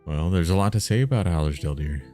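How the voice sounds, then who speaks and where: A man voicing a shrewd, guarded, motherly woman innkeeper in a folksy, measured way for a story game. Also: low voice, expressive, dark tone, soft background music.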